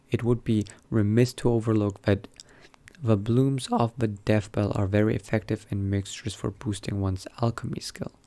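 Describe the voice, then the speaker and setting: A man doing an in-character game roleplay, reading aloud in a measured, bookish way and muttering asides to himself. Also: lowish voice, warm tone. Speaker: roleplay gamer narrating in character.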